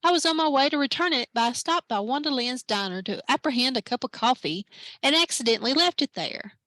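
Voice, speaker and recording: A woman reading aloud voices a by-the-book police officer who talks in quick, clipped official jargon. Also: high-pitched, lively intonation, sharp tone, no echo. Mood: briefing. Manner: rapid clipped official talk.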